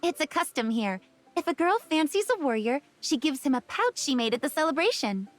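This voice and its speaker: An actress playing a kind, forthright young shepherdess from a grassland tribe in a romance game. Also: female voice, high-pitched, very animated, fairly quick, faint music behind. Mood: friendly, candid. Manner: bright, forthright, welcoming. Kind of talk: game character dialogue.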